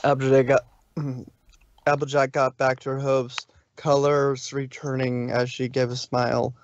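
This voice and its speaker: A man's voice: mid-range voice, completely flat delivery, measured pace, muffled recording. A man narrating a fan fiction story on a live stream, adding casual asides. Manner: plain reading with asides. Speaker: reader, narrator.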